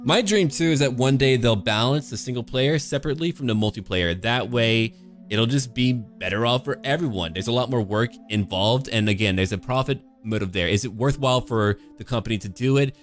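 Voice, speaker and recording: A man's voice: mid-range voice, fairly quick. A man giving casual, speculative video game commentary in a conversational, enthusiastic way. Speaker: gaming video creator, commentator. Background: background music.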